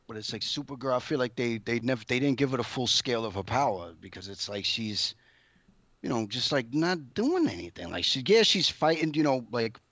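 A man's voice: medium pitch, animated, fairly quick, a bit bright, dry recording. A man chatting casually about TV shows, rambling and slangy, with some swearing.

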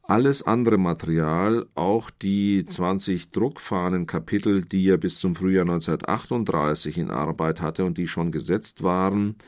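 A man giving measured, scholarly commentary as a literary expert, explaining in long sentences. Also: deep voice, a little mellow.

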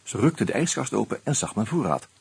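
An actor playing an easygoing amateur sleuth who talks hesitantly and narrates wryly in a Dutch radio drama. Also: male voice, fairly low pitch, very animated, brisk, vocal fry, darkish tone, slightly rough, full character voice. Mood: wry narrating. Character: Hans, easygoing amateur sleuth and wry first-person narrator.

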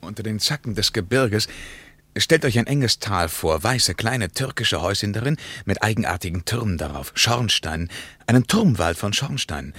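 A very deep-voiced wistful traveler. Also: male voice, moderate pace, husky, no room sound. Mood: reminiscing. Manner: lively, wistful, insistent. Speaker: travel companion. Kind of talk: radio play acting.

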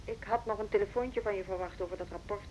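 Brisk woman on the telephone. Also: mid-range voice, fairly even intonation. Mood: composed, probing. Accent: Netherlands Dutch. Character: Gerda, composed, perceptive senior woman colleague on telephone. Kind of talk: naturalistic Dutch radio drama acting. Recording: faintly noisy, quiet telephone line.

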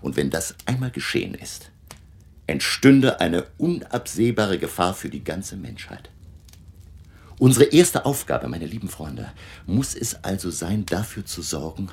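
An actor playing a grave, learned vampire hunter who lectures his allies deliberately and emphatically in a German radio drama. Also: sits low, sing-song, creaky, darkish tone, slightly rough, full character voice. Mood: grave, resolute.

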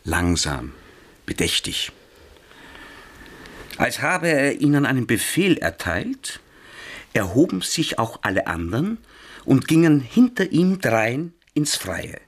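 A man narrating a radio play, with measured, dramatic literary storytelling. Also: medium pitch, very animated, breathy edge, heavily acted.

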